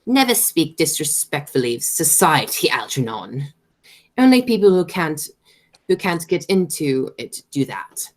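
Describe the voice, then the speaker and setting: A woman's voice: low-pitched, big pitch swings, a little slow, exaggerated voice. Woman playing swooping, imperious aristocratic aunt. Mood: grandly condescending. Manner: pompous, declamatory, stumbles over words. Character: imperious, snobbish, money-minded aristocratic English aunt. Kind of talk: stage comedy as amateur radio play.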